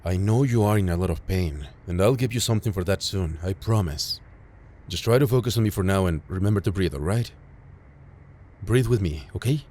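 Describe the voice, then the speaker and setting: A man playing a calm, caring field medic who reassures a patient in an intimate audio roleplay. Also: low voice, brisk. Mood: caring, reassuring. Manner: gentle, reassuring, matter-of-fact, addressing a patient directly.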